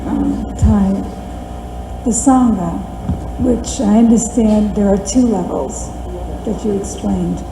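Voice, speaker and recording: A woman from the audience asking a question in a soft voice, hesitant and humble. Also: medium pitch, slow pace, creaky. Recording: echoey hall with faint noise.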